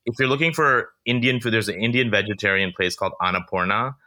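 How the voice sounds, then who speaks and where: A man from Canada appears as a podcast guest. His tone is bright, and he talks in halting starts and restarts. The whole delivery stays casual and chatty. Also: lowish voice. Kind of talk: casual interview chat.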